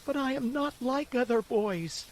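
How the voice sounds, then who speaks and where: A man voicing a fussy, hungry wooden boy puppet in a storybook reading, whining and pleading in a high, excitable voice. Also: a little slow, a little hiss or hum, rough recording.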